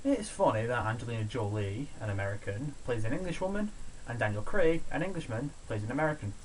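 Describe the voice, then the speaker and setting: Male countdown host, medium pitch, scripted but casual and sweary commentary, slightly hissy home recording. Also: very animated, quick pace, low-quality recording. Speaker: host of a video review show.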